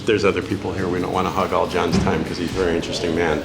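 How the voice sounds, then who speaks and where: A man's voice: deep voice, little pitch movement, very fast, vocal fry. A man hosting a casual interview, chatty, offering his own opinions and questions and echoing what he hears. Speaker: interviewer and video host. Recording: echoey reverberant hall with noisy ambience.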